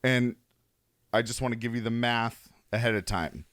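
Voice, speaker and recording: A man's voice: medium pitch, fairly even intonation, normal speed, a bit bright, no echo. A young man co-hosting a podcast, telling a rambling story in casual chat, laughing and swearing as he goes. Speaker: podcast co-host.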